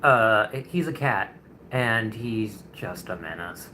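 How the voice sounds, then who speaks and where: A man's voice: fairly low pitch, animated, slow pace, pushed and bright, slight background noise. A man in his twenties, a streamer chatting casually and rambling with viewers during a painting livestream, chuckling often. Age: 20s.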